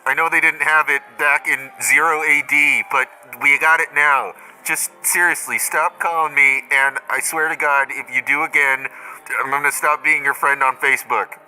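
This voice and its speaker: An exasperated, blunt friend acted by a man leaves a comedy voicemail. His voice sits at an ordinary pitch with a very sharp, forceful edge, and he sounds irritated and foul-mouthed. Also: poor audio. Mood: angry, threatening. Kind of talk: improvised comedy voicemail skit.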